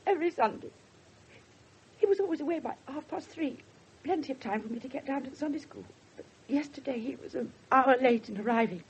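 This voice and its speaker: An actress playing an English mother of a missing girl in a 1970s radio drama, distressed, defensive and halting. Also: sits high, animated. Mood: subdued, halting. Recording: noisy 1970s radio broadcast recording.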